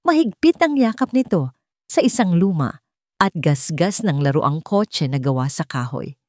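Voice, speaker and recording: Female narrator, Filipino accent, lower register, scripted romantic drama, dry studio-like sound. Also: big pitch swings, unhurried, darkish tone, no echo.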